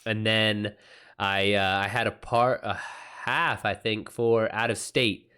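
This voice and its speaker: A man's voice: on the low side, fairly even intonation, slow, bright, forceful tone, clear, resonant tone. A man hosting a livestream in a casual, informal style, reacting to chat comments with exclamations and swearing. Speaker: streamer, author hosting a live chat.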